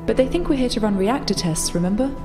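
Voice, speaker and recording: A woman's voice: fairly high pitch, expressive, fast talker, over music, lightly acted. Woman acting a practical, matter-of-fact female technician. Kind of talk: tabletop roleplay in character.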